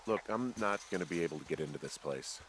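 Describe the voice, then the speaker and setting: Low, sardonic American man. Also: expressive, fast talker, heavy background noise. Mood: frustrated. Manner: sardonic, plainspoken. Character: sarcastic, suspicious everyman fire lookout, grown man. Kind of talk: naturalistic video game voice acting.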